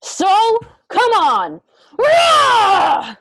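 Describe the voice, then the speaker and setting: A woman's voice: extremely high pitch, huge pitch swings, drawn-out words, a bit bright, no echo, raised voice, exaggerated voice. An actress playing a cocky, defiant young female warrior in a radio drama, brash and taunting. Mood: fierce.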